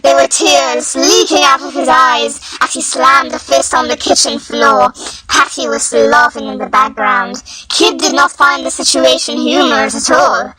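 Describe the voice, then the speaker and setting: A woman's voice: high-pitched, normal speed, over music, rough recording. A woman narrating fanfiction in a dramatic, mock-serious storytelling style. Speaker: fanfiction reader.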